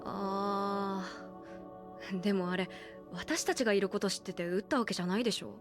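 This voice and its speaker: A woman's voice: medium pitch, normal speed, music in the background, close to an everyday voice. An actress playing a guarded, blunt young woman in an anime audio drama, speaking politely and a little hesitantly. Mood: blunt, resentful. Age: young adult.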